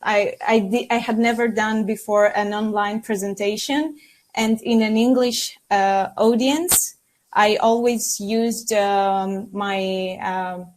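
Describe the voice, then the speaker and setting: Slow, hesitant woman. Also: medium pitch, fairly even intonation. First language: Greek. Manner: hesitant, many fillers and restarts. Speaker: podcast guest, former mentee. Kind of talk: unscripted podcast answer.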